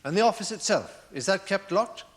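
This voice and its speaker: An actor playing a courteous, orderly prosecuting barrister who questions a witness formally in a courtroom drama. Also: male voice, high-pitched, lively intonation, husky. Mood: businesslike. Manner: formal, polite, measured phrasing.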